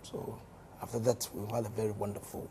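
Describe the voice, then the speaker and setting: A hoarse man with a Ghanaian accent, a member of parliament speaking in a studio interview, measured and given to repeating words as he explains at length. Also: fairly low pitch, little pitch movement, background noise. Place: television studio.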